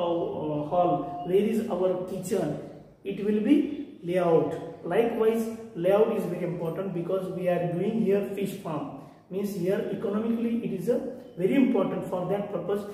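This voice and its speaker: A man's voice: high-pitched, unhurried, dark tone. A man in his forties with an Indian accent, lecturing a class and repeating his points, filmed from a distance in front of a whiteboard. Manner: repetitive explaining, gesturing with hands. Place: room with whiteboard. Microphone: far camera. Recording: echoey room from far off.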